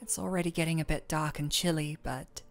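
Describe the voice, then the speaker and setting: A young woman playing a shy, smitten former coworker in an intimate romantic audio roleplay, speaking softly and haltingly. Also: sits low, steady tone, faint music behind. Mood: tender, content. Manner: shy, halting, soft-spoken.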